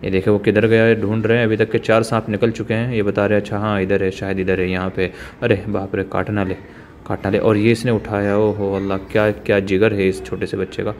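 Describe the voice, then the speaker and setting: Lower-voiced man commenting outdoors. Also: flat delivery, a little fast, a little mellow, slight background noise. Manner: chatty, many fillers, exclaims at surprises. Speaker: vlogger. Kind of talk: casual commentary behind the camera. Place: outdoors by a village pond.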